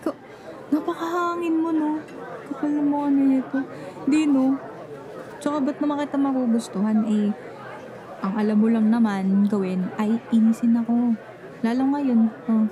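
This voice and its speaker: A woman's voice: on the high side, steady tone, moderate pace, long pauses, crowd noise, lightly acted. A young woman acting a grumpy, jealous friend who feigns nonchalance in an ASMR roleplay, pouty and defensive, slipping casual English into her talk. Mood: jealous, flustered. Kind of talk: Tagalog ASMR roleplay acting, one-sided conversation.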